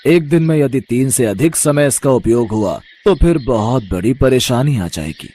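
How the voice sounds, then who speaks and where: A man's voice: medium pitch, mellow, slight background noise, close to an everyday voice. A man voicing a solemn wandering holy man in a cartoon moral story, speaking in a fatherly, cautioning manner. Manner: grave, cautioning.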